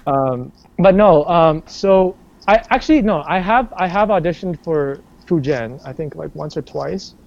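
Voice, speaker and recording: A man's voice: lively intonation, unhurried, vocal fry, hardly any pauses, a little mellow, no echo. A man, an actor being interviewed, talking casually in a rambling way with lots of fillers and restarts. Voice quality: bass singing voice. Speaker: stage and screen actor, interview guest. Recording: dull lo-fi sound.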